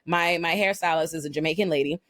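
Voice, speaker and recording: A woman's voice: deep voice, no echo, studio quality. A woman from the Bahamas, hosting a casual panel discussion and telling stories with lots of fillers. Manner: fast, animated storytelling with many fillers. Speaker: podcast host.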